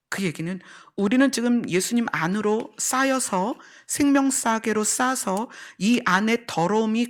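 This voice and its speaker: A woman pastor preaching and teaching, explaining step by step, repeating key phrases and putting questions to her listeners. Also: medium pitch. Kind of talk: church sermon with teaching and illustrations.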